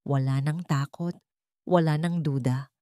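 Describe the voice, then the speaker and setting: A woman's voice: sits low, fairly even intonation, measured pace, mellow, no room sound. A woman storyteller voicing an emotional, affectionate young bride-to-be in a romance story. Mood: loving, resolved. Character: tearful young bride-to-be, loving and devoted.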